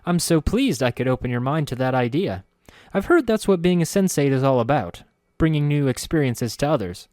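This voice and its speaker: A man's voice: medium pitch, sing-song, brisk, a little mellow, no room sound, clean studio sound, voice pushed up, close to an everyday voice. A man voicing a perky, sweet-natured young woman who is eager to please and hates being mean. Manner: bubbly, breathless and eager to please.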